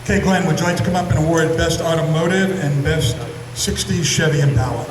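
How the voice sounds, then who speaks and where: A man's voice: fairly high pitch, a little fast. A man emceeing an awards ceremony in a museum hall, breezy and joking between presenters. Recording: echoey hall from far off with crowd.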